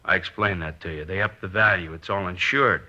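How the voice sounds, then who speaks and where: A glib slangy fence in an old radio crime show, very sharp forceful voice, dull old recording. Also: male voice, deep voice, fairly quick. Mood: evasive, defensive. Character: slick, evasive crooked fence posing as investment man. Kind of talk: 1950s radio crime drama acting.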